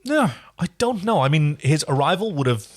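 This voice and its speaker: A man's voice: on the high side, sing-song, moderate pace. A man whose native language is Dutch, co-hosting a podcast with casual recap commentary. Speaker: podcast co-host.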